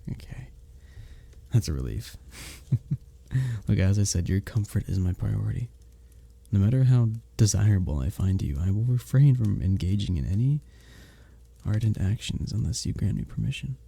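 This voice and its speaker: Man playing a dark-voiced, flirty medieval innkeeper. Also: fairly low pitch, full character voice. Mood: earnest, caring. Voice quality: dark, husky, gravelly. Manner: formal old-fashioned diction, teasing, gently courteous. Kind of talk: romantic ASMR roleplay, scripted character acting.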